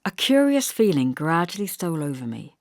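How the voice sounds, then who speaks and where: A woman reading a novel as an audiobook, in expressive, measured first-person narration. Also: lowish voice, no room sound, clean studio sound.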